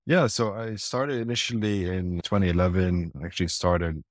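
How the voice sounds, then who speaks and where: A man from Lebanon, a conference founder, answering interview questions in a relaxed, hesitant way with frequent restarts. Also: low-pitched, moderate pace, no room sound.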